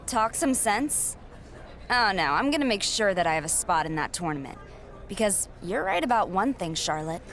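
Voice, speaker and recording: An expressive, cocky young-gun wrestler. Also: female voice, medium pitch, normal speed. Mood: defiant. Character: cocky, ambitious female wrestler rising to challenge. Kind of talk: video game cutscene acting.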